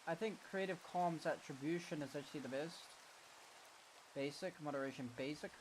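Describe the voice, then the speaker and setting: A man's voice: fairly high pitch, fairly quick, noisy background. A man live-streaming from his room, sniffly, muttering casually to himself as he sets things up, with slangy, offhand talk. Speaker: livestreamer. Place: home room.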